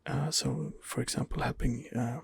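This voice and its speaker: A man giving ASMR game commentary in a breathy, husky whisper, hesitant and rambling as he muses his way along. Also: mid-range voice, steady tone, normal speed. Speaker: video game streamer, channel host.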